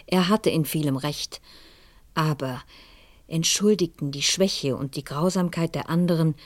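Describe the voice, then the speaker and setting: An actress playing an experienced, inquisitive professor and amateur sleuth, pensive and breathy, in a German radio crime drama. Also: female voice, deep voice, unhurried, no echo. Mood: reflective.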